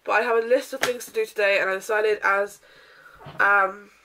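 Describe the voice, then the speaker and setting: A young woman vlogging casually from her bedroom, with a croaky voice from a sore throat, chatting in a rambling way with lots of ums and throat clearing. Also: mid-range voice, steady tone, normal speed, pushed and bright, slight background noise, low-quality recording. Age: young adult. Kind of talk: casual day-in-my-life vlog. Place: bedroom, indoors.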